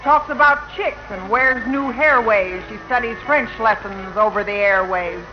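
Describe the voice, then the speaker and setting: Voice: medium pitch, measured pace, close to an everyday voice. A performer playing a proud, folksy country parent in rhyming patter for a 1940s radio show. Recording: dull 1940 radio recording. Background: music.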